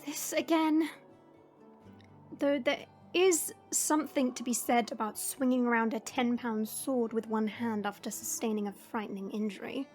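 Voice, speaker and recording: A woman playing a stern, proud but caring fantasy warrior, speaking in a measured, formal and dry way. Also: on the high side, fairly even intonation, a little mellow, faint music behind, close to an everyday voice. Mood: dry, teasing. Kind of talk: fantasy audio roleplay acting.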